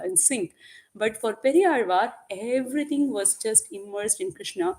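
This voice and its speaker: A woman teaching a group about devotional texts, with Tamil as her first language. She explains patiently, poses questions to her listeners and answers them, and uses Tamil and Sanskrit words throughout. Her voice sits at an ordinary pitch for a woman. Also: a little mellow. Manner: mixes in Tamil and Sanskrit terms. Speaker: religious teacher.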